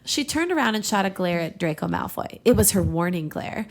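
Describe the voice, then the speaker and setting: A woman co-host reads fan fiction aloud in a mid-pitched voice with plenty of creak. She slips easily between narration and casual banter, and is prone to giggling. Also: animated, brisk. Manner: giggly, breaking into laughter mid-reading. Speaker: podcast co-host and reader.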